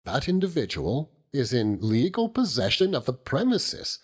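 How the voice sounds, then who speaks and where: A man voicing a pompous, theatrically gloomy middle-aged gentleman in debt, in florid phrases, for an audiobook. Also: mid-range voice, wildly swooping pitch, normal speed, breathy edge. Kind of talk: audiobook character reading.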